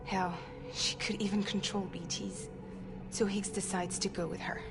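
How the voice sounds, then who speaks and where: Actress as earnest young leader, mid-pitched, score loud behind. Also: female voice, flat delivery, moderate pace, creaky, music in the background, natural voice. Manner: earnest, urgent explaining. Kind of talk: cinematic video game cutscene acting.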